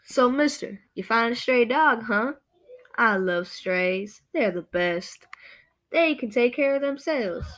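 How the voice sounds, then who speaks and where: A woman's voice: on the high side, expressive, slow pace, fairly bright tone. A teenage girl acting a bossy, menacing male gang boss in a student radio play. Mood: sly, casual. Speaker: student actor.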